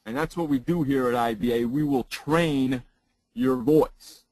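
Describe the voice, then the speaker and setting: A man who trains broadcasters, giving a lesson in a loud, emphatic way and repeating phrases for effect. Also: medium pitch, measured pace, no echo, rough recording. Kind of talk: casual chat turning into a spoken lesson.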